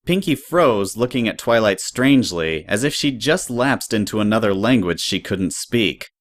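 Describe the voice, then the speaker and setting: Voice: mid-range voice, expressive, normal speed, dry recording. A narrator reading scripted story prose in an audio drama.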